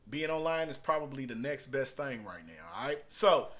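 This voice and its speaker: A man's voice: medium pitch, big pitch swings, normal speed, a bit bright. A man talking in a casual vlog review style, conversational and emphatic, repeating phrases to drive points home. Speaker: product reviewer and online marketer.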